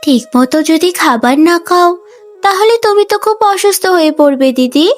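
A woman voicing a gentle young sister in a cartoon, speaking in an earnest, plaintive way. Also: very high voice, lively intonation, moderate pace, soft background music. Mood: concerned. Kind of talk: Bengali cartoon voice acting.

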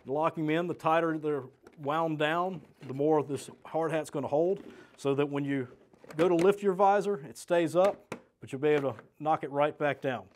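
A man's voice: fairly high pitch, a little mellow, slight background noise. A middle-aged American man presenting a step-by-step product demonstration as a sales rep, in a plain-spoken, practical way. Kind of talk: step-by-step instructional product demo. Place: small indoor studio set with desk and shelves.

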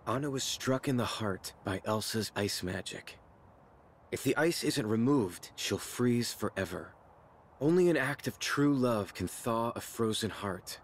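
An actor playing a rugged, gruffly good-hearted young mountain man in a naturalistic video game scene. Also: medium pitch, expressive, unhurried, slight background noise. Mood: grave.

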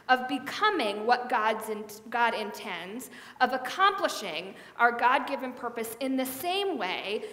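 A woman's voice: a little high, fairly bright tone. A middle-aged woman pastor giving a conversational sermon, gesturing as she speaks, on a headset mic in a large church sanctuary. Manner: conversational preaching with hand gestures. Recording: echoey hall with long reverb.

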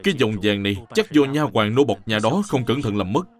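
An actor playing a principled young scholar who speaks formally and moralizes sternly, in an animated drama. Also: male voice, medium pitch, a little fast, close to an everyday voice.

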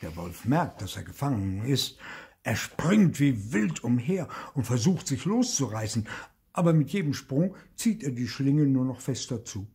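An older man reading a children's story expressively at home, slowing and dropping to a whisper for suspense. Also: mid-range voice, sing-song, darkish tone, breathy edge. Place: indoor study with bookshelves.

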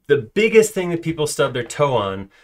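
A man giving a casual screen-recorded software tutorial, talking loosely with fillers and restarts. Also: a little high, big pitch swings, moderate pace. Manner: conversational, filler-heavy, self-correcting. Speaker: software trainer.

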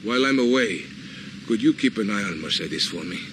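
An actor playing a courteous older Latin American colonel in a video game, formal and gracious. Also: male voice, fairly low pitch, lively intonation, fairly quick, lightly acted. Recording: loud engine and outdoor noise behind.

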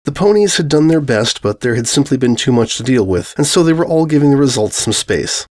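A man's voice: mid-range voice, lively intonation, fairly quick, darkish tone. A man reading scripted fan fiction aloud as a dramatic narrator.

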